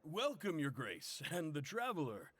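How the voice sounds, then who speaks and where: An actor playing an upbeat, polite cook who serves a prison cafeteria. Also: male voice, a little high, very animated, a little slow, a bit bright, full character voice. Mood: cheerful. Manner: polite and upbeat, serving staff.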